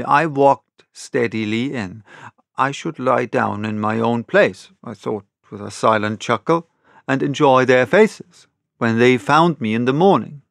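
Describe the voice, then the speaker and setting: A man reading literary first-person narration as an audiobook narrator, in a measured storytelling manner. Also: medium pitch, creaky, fairly bright tone, no echo, clean studio sound.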